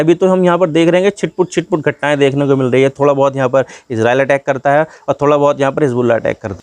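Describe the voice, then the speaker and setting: Male explainer host, very quick delivery, slightly high voice, informal and chatty, dry close sound. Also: darkish tone, no echo. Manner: conversational, repetitive filler phrases, addresses viewers as brother. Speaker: host of a current-affairs explainer channel. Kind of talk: informal news explainer, talking to viewers.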